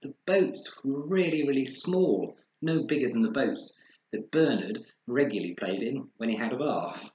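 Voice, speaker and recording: A man narrating a children's story with expressive, dramatized delivery, recorded in an echoey room. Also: mid-range voice, moderate pace, muffled recording. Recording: echoey room with faint noise.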